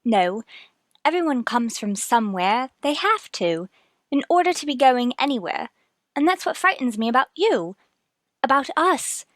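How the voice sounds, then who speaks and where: An American actress playing an anxious, devoted young wife in an old radio melodrama, earnest and plaintive. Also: female voice, high-pitched, animated, moderate pace, fairly bright tone, no room sound, studio quality. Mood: sad, fearful. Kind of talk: mid-century radio melodrama acting.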